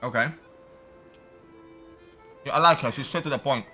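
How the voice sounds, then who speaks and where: A man's voice: medium pitch, moderate pace, bright, forceful tone, soft background music. A man giving casual, unscripted commentary as he plays a video game, musing and thinking aloud. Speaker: streamer playing a video game.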